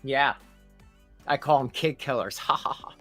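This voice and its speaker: A man's voice: mid-range voice, a little slow, sharp tone, soft background music. A man hosting a casual live reaction stream, mocking and laughing often.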